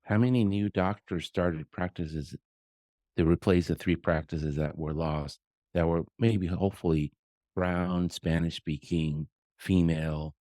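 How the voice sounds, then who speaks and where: Guy with a really deep voice, podcast host, chatty but kinda sardonic, dry close sound. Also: steady tone, unhurried, mellow, no room sound. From born in Colombia, raised elsewhere. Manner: pointed, sardonic. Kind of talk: casual interview conversation.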